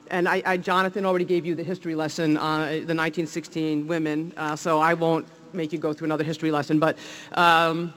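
A man's voice: high-pitched, fairly bright tone. A man with a New Jersey accent, a league executive giving an enthusiastic public speech at a welcome event, with many fillers and restarts.

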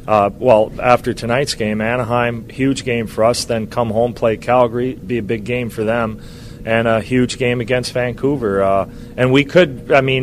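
A man in his fifties, a hockey head coach, talking casually on a radio show, with lots of pauses, "uh"s and restarts. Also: medium pitch, a little hiss or hum. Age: 50s. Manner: rambling, many uhs and restarts.

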